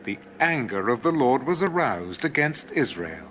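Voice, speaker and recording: A man narrating scripture in a solemn, deliberate, dramatic style. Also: mid-range voice, sing-song, fairly bright tone, slight background noise. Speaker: audio Bible narrator.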